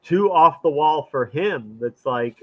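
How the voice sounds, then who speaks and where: A man's voice: on the high side, animated, slow pace, highs cut off. Nasal man with a dry, sarcastic wit. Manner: dry, mock-naive sarcasm. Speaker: podcast co-host. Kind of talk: casual comedic chat.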